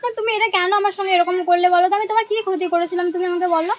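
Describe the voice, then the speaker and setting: A young woman speaks. She talks very quickly in an informal vlogger's way, as on a prank call. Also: very high-pitched, steady tone, dull audio. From West Bengal, India. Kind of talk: casual prank phone call.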